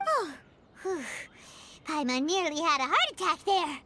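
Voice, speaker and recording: A woman's voice: very animated, unhurried, bright, forceful tone, slight background noise, full character voice. A woman voicing a tiny, childlike floating fairy companion in a video game, bubbly, emphatic and food-loving. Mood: chatty. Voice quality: squeaky, childlike. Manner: bubbly, emphatic, refers to self in third person. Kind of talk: video game character acting. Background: game music.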